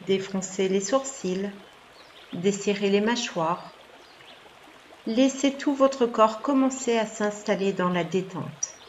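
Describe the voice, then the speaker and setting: A woman leads a guided meditation as a yoga nidra teacher. She gives gentle, soothing instructions at an unhurried pace, leaving long pauses. The recording is slightly dull and lo-fi, with running water and noise behind her. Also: mid-range voice.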